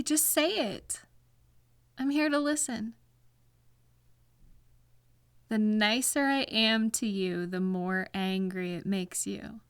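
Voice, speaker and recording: Woman playing a sweet patient girlfriend, asmr roleplay, soft n reassuring, really slow talking, close dry sound. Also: on the high side, dry recording. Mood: gentle, reassuring. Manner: gentle, reassuring, unhurried. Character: caring, patient girlfriend gently comforting an angry partner.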